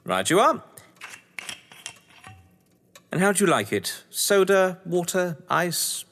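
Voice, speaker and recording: A man's voice: sits high, big pitch swings, takes it slowly, vocal fry, a bit bright, full character voice. An actor playing a jovial, theatrical English crime novelist at his manor, genteel and effusive, in an audio drama. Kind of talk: stage thriller audio drama acting. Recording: long reverberant hall echo.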